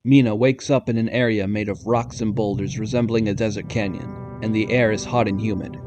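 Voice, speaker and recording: Low-voiced male drama narrator. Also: fairly even intonation, brisk, darkish tone, faint music behind. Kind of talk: scripted audio drama narration.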